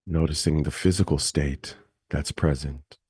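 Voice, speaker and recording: Man, meditation teacher, very deep bass, husky, dark and soft tone, calm, gentle, unhurried. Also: no echo, clean studio sound. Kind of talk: guided meditation.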